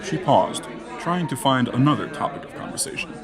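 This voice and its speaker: A man's voice: mid-range voice, expressive, fairly quick, a crowd in the background. A man narrating a scripted gothic audio drama in a florid, dramatic manner.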